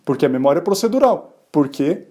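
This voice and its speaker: A man's voice: fairly high pitch, very animated, fairly quick, dark tone. A man hosting a podcast, explaining the science of bilingualism in a casual, conversational way, often asking and answering his own questions. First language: Portuguese. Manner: tag questions and fillers.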